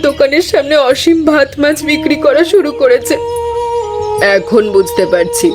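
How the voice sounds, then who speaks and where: A woman's voice: sits high, animated, moderate pace. A woman playing a concerned, plain-spoken village mother in a cartoon, questioning in a maternal way. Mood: indignant, protective. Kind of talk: cartoon voice acting. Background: background music.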